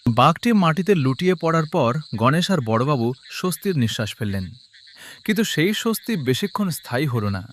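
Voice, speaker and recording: A man's voice: a little high, sing-song, normal speed, a bit bright, slight background noise. A man narrating a scripted cartoon story in a dramatic style.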